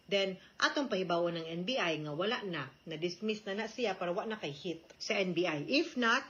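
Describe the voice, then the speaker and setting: A woman's voice: medium pitch, fairly bright tone. Cebuano Filipino woman giving radio legal advice. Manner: informal, explanatory, frequent tag questions. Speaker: legal advice show host. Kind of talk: conversational radio legal advice, mixing in English.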